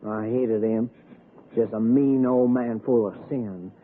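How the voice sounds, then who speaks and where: An actor playing a blunt, stubborn young hillbilly with a rustic Appalachian accent in an old radio suspense drama. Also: male voice, mid-range voice, fairly even intonation, slow pace, narrow, tinny audio. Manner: rustic, plainspoken. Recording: thin, noisy 1960s radio recording.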